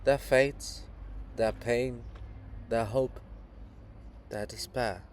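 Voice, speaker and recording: A man voicing a troubled princess monument, soft, grave and earnest, in a dramatic reading. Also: mid-range voice, steady tone, very slow, slight background noise, pitched below the performer's own voice.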